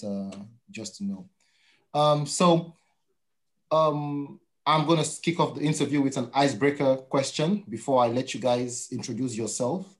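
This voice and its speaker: A man hosting an interview in a casual, informal way, with easygoing approving interjections. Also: mid-range voice. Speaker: interview host.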